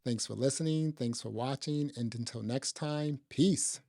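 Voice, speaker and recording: A man's voice: mid-range voice, a little slow, vocal fry, very noisy. A man hosting a podcast, chatting casually about movies in a conversational way peppered with little asides. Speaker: podcast host. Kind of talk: casual movie review chat.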